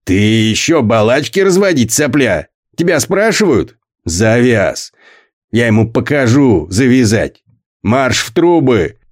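A man's voice: medium pitch, very animated, takes it slowly. A man voicing a coarse, brutal middle-aged foreman who bullies and barks at boys, read as part of an audiobook. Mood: bullying. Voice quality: coarse, bullying, barking. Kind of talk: audiobook character voice.

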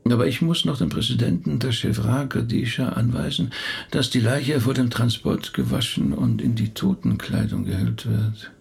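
An actor playing a harried, earnest rabbi in a radio drama, speaking in a measured way. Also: male voice, medium pitch, animated, creaky, big-room sound. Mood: weary musing. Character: harried, apologetic male rabbi who also preaches.

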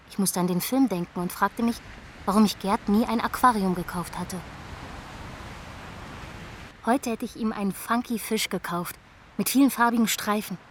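A woman's voice: mid-range voice, a little hiss or hum, natural voice. An actress playing a wistful, affectionate woman musing introspectively in a radio drama monologue.

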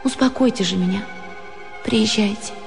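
A woman acting an earnest young romantic in a radio play, gushing and pleading in a husky, emotional voice. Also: mid-range voice, normal speed, faint music behind, natural voice. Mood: pleading, anxious.

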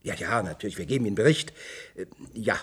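Flustered, husky, low-voiced male official. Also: quick pace. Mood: flustered, servile. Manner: hesitant, stammering, impatient. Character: flustered male official, servile to superiors, pushy with subordinates. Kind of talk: German radio play acting.

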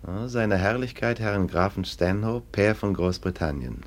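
A man's voice: on the low side, moderate pace, close to an everyday voice. A man playing a stern police official with a sneering, mocking manner in a radio play. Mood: scornful. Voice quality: sneering, dry mockery. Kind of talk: 1960s German radio play acting.

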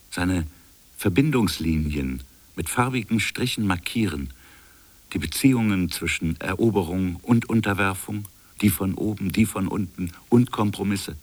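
An actor playing a reflective, defiant colonial official in a literary radio drama, speaking in a measured, deliberate way. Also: male voice, deep voice, heavily acted. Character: defiant, reflective colonial official defending his invented fable.

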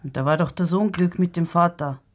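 Casting: woman playing young farm woman, tender yet firm, lowish voice, radio drama. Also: brisk, darkish tone, close to an everyday voice.

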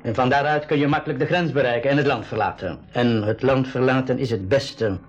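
An actor playing a courteous, firm army lieutenant in a school radio drama, speaking formally and insistently. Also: male voice, medium pitch, fairly quick, lo-fi sound, lightly acted. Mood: grave, insistent. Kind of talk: 1980s Dutch-language school radio drama acting.

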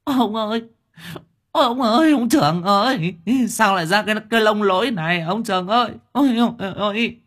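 A man voicing a chattering older village wife, speaking in a high, whining voice. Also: brisk, fairly bright tone. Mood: wailing. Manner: wailing, rambling, high-pitched whine.

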